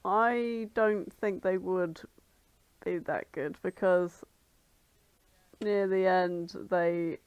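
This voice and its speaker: A woman's voice: lowish voice, steady tone, extremely slow, pauses often, smooth, lo-fi sound. A woman co-hosting a podcast, chatting casually in a blunt, wry way with some swearing. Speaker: podcast co-host. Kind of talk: casual chat.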